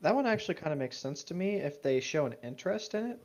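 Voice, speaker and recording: A man's voice: on the high side, animated, fast talker. Man chatting casually on a dull mic. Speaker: podcast co-host. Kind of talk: casual chat commentary.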